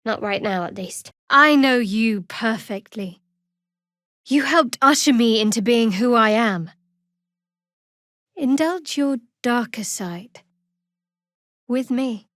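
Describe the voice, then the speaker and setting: An actress voicing a guarded, sardonic young woman cleric in a fantasy video game, with dry, measured delivery. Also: a little high, fairly bright tone, no room sound. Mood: low, intimate. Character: guarded, sardonic young female cleric adventurer of dark goddess.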